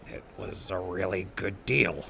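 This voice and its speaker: A man plays a weary wasteland traveler in an audio drama. His low voice is heavy with gravel and has a forceful edge, yet he comes across as an earnest, good-natured peacemaker. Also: unhurried, slight background noise, heavily acted. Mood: cocky, sheepish. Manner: earnest, placating. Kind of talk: post-apocalyptic audio drama acting.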